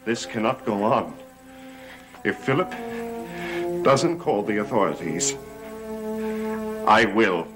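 A man's voice: on the low side, expressive, slow pace, long pauses. An actor in his thirties playing an anguished, cursed master in a brooding, dramatic gothic soap style. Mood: desperate, resolute. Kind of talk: gothic television soap opera acting. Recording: vintage TV soundtrack with loud music.

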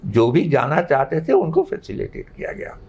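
A man, explaining things haltingly but emphatically as an interview guest. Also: a little high, wildly swooping pitch, darkish tone, husky. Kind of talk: unscripted interview answers. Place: living room indoors. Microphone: lapel mic. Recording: slightly muffled sound.

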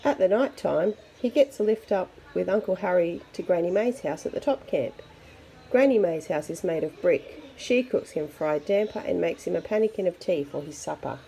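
Gravelly-edged woman reading a children's picture book. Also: fairly low pitch, moderate pace, a little hiss or hum, poor audio. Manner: expressive, with chatty asides to young listeners. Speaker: storybook reader for children.

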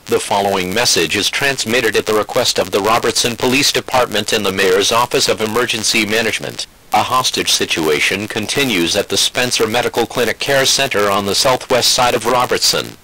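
A man's voice: lowish voice, bright, forceful tone, low-quality recording. A synthetic-sounding man reading a scripted emergency alert bulletin in a formal, impersonal way. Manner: formal, impersonal, synthetic text-to-speech delivery.

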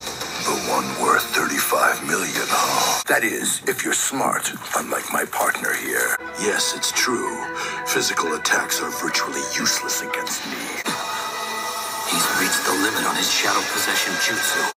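Cartoon mercenary villain, extremely deep, growling, menacing, loud music behind. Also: male voice, expressive, a little slow, exaggerated voice, rough recording. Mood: cold, menacing.

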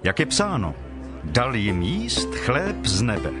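A man's voice: mid-range voice, huge pitch swings, loud music behind the voice. An actor playing a challenging, skeptical man from a crowd in a dramatized scripture reading. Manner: challenging, demanding.

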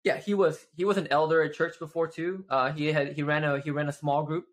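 A man's voice: on the high side, brisk. A man in his twenties from Malaysia, chatting casually as a guest over a video call and talking with his hands. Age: 20s. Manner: animated, gestures with both hands, many "right?" tags. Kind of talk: casual interview chat. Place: home office with shelves.

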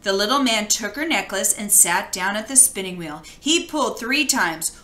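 A woman reading a children's storybook aloud with expressive, dramatic storytelling. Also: mid-range voice, normal speed, roomy sound. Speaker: children's book read-aloud reader.

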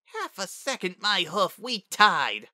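A man puts on a little Pegasus girl from a storybook, sulky and competitive. The voice is pushed very high and bright, with a whiny, grumbling edge. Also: very animated, slow, dry recording, clean studio sound, full character voice.

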